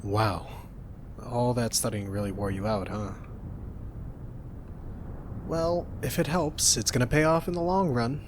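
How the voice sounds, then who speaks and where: A young man doing an ASMR roleplay as a roommate, talking softly in a teasing, reassuring way. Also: mid-range voice, unhurried, pauses often, background noise.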